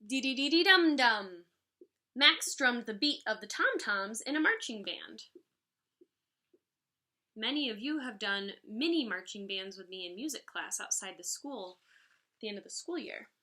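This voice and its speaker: A woman's voice: a little high, moderate pace. A woman in her thirties, a music teacher, reading a picture book aloud to children expressively and explaining it as she goes. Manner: expressive, instructive. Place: living room at home, indoors. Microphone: device mic about arm's length away.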